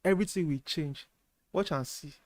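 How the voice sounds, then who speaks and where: A man's voice: fairly high pitch, normal speed, no echo. A man, the channel host, giving earnest advice in a casual chat and repeating his points.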